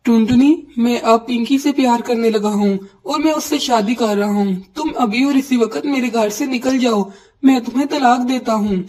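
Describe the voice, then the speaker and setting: A woman voicing an excitable male pigeon husband in a Hindi cartoon, emphatic and dramatic. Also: a little high, moderate pace, lightly acted, poor audio. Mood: cold, firm.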